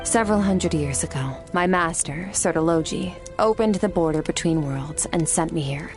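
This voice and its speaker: An actress voicing an aloof young woman swordmaster from another world, speaking in a calm, cool, matter-of-fact way. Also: on the low side, moderate pace, over music, close to an everyday voice. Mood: cool, measured. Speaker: game character. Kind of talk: game voice acting.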